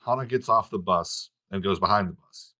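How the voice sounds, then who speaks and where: A man's voice: low-pitched, normal speed, pushed and bright. A man reading stage directions as the narrator of a screenplay table read.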